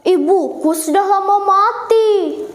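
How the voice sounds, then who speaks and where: Young girl playing an arrogant young man, very high-voiced. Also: takes it slowly. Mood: scornful. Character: arrogant young merchant son who disowns his mother. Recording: echoey room.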